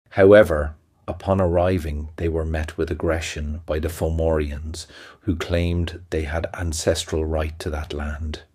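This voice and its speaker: A man narrating a fantasy audio play as a storyteller, with a measured, even delivery. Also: a bass voice, no echo, clean studio sound. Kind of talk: scripted fairy-tale narration.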